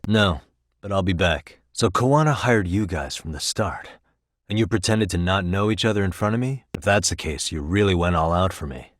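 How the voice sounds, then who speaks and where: Dogged game detective: deep voice, slightly husky, wry and terse, very dry close recording. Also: male voice, dry recording. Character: determined, streetwise male detective, dogged and wry. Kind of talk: scripted video game dialogue.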